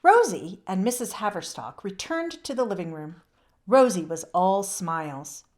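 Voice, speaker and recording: A woman narrating a children's book aloud in an expressive storybook style. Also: medium pitch, unhurried.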